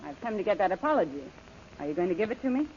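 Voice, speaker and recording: An actress playing a cold, taunting villainess who orders henchmen about in an old radio serial, imperious and mocking. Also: female voice, medium pitch, very animated, very fast, darkish tone, natural voice. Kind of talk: 1930s radio serial acting. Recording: noisy, muffled old radio recording.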